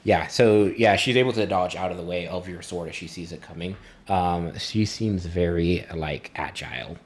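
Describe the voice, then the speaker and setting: Low-voiced man running a tabletop game. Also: moderate pace. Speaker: game master. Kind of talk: improvised narration of a streamed tabletop fantasy game.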